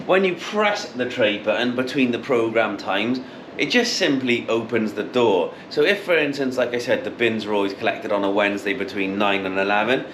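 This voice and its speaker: A man's voice: fairly low pitch, moderate pace, fairly bright tone. A man in his thirties giving a casual, explanatory how-to product demonstration, repeating key points as he goes. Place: showroom with intercom display wall, indoors. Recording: clearly noisy room background.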